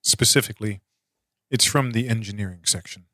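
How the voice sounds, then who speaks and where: A man playing a calm military intelligence officer in a tabletop game, speaking formally in halting, measured phrases. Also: on the low side, no echo. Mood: calm, deliberate. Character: calm, deliberate male military intelligence officer, quietly insistent.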